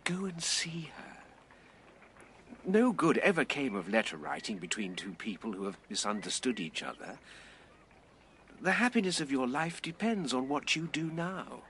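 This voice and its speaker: A gentle, earnest literary friend in a period radio drama. He speaks formally and tactfully, with lively, pleading intonation over some noise. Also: medium pitch, normal speed. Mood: urgent pleading.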